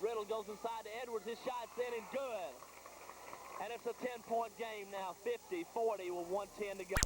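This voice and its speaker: High-pitched man calling basketball, noisy tape. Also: fairly even intonation, moderate pace. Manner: clipped phrases, calling the action as it happens. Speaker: play-by-play radio sports announcer. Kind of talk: live high school basketball commentary. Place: high school gymnasium during a game. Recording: noisy 1980s VHS tape of gym broadcast.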